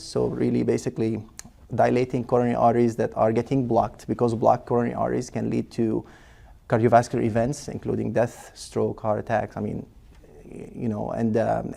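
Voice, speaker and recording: Gravelly man explaining. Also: medium pitch, mellow. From Lebanon. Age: 40s. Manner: patient explainer, many fillers and restarts. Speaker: cardiologist guest. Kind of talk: explanatory interview answers.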